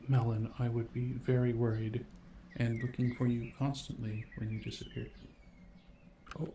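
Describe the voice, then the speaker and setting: A man hosting a casual ASMR stream from home, chatting softly and laughing often. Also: mid-range voice, flat delivery, normal speed, reverberant, slight background noise. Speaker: ASMR host. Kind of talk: casual live-stream chat. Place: home room. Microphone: multiple sensitive ASMR mics.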